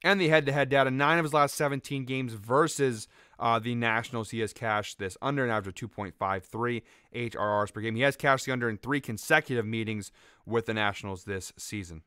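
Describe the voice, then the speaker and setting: A man hosting a sports betting picks show, talking quickly and casually through stats with a slightly raspy voice. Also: medium pitch, bright, forceful tone, no echo, clean studio sound. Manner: quick, upbeat, rattles off stats with fillers. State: slightly raspy from a late night out. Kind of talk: fast casual betting-tips monologue.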